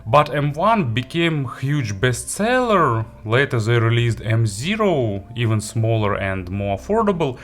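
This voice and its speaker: A man giving a casual gadget review and unboxing, halting and hesitant as he thinks aloud. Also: medium pitch, big pitch swings, fairly bright tone. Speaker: audio gear reviewer.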